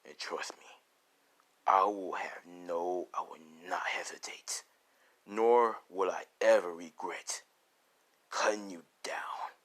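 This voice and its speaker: A man voicing a ruthless, vengeful, foul-mouthed young anime student in low, breathy, hissed threats. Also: steady tone, takes it slowly, a bit bright. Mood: cold menace. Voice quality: breathy, half-whispered.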